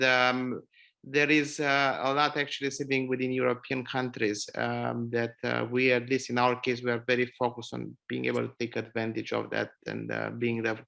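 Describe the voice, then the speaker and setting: Bright-voiced man. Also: medium pitch, vocal fry. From Romania. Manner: earnest, many fillers and restarts. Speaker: mining company CEO, panelist. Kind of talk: online panel discussion.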